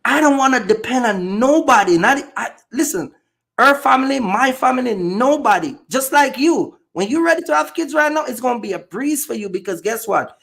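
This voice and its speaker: A man's voice: very high voice, expressive, normal speed, creaky, a bit bright. A man from Jamaica with a Jamaican accent, hosting a casual podcast chat and making his points in a blunt, emphatic, repetitive way. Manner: emphatic, blunt, repeats himself. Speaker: channel host.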